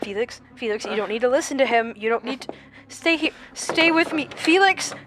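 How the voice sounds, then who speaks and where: Woman playing a high-pitched desperate, pleading captive. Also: expressive, brisk, noisy background, lightly acted. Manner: urgent, pleading, emotional. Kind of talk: horror audio drama acting.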